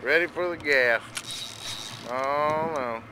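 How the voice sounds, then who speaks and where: High-voiced man on a noisy boat. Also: takes it slowly. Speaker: fishing video host. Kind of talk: casual excited commentary while fishing. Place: outdoors on a small boat at sea. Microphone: handheld camera in open air. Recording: loud boat engine and outdoor noise.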